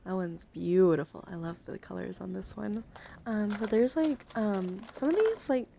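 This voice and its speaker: Over clear ambient noise, a woman streams a casual ASMR chat to her viewers. Her voice sits at an average pitch. She talks in a halting, rambling way, as if thinking aloud. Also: animated, mellow. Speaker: livestreamer showing a dice collection.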